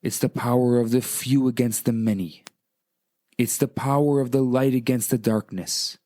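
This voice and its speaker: A man speaking as a rabbi on radio, in a dramatic, inspirational storytelling style, deliberate and emphatic. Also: medium pitch, steady tone, mellow. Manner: deliberate, emphatic, repeats phrases for effect.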